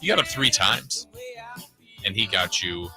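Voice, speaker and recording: A man co-hosting a sports radio show, reading off stats in a matter-of-fact, quick way. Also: fairly low pitch, animated, over music.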